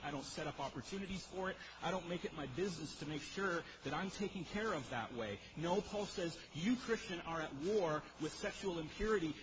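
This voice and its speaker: A man preaching an expository sermon in a powerful voice, emphatic yet conversational, putting questions straight to his listeners. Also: fairly high pitch. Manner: emphatic, conversational, rhetorical questions and direct address. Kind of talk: sermon, expository teaching to a congregation. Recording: muffled sound with clear background noise.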